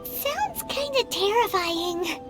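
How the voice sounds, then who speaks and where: A woman voicing a tiny, excitable childlike fairy companion with a squeaky voice in a video game. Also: slow pace, full character voice. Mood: wary. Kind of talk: animated video game voice acting. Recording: music behind the voice.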